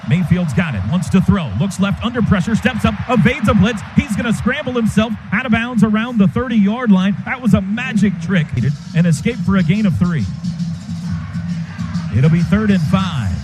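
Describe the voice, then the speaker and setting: An American man giving live radio play-by-play of a football game in clipped phrases with excited calls. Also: high-pitched, normal speed, over music.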